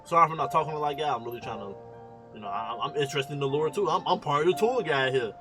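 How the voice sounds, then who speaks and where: A man's voice: on the high side, fast talker, music in the background. A man with an American accent giving casual, slangy, teasing commentary as he plays a video game at home. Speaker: streamer reacting to a video game. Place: room at home.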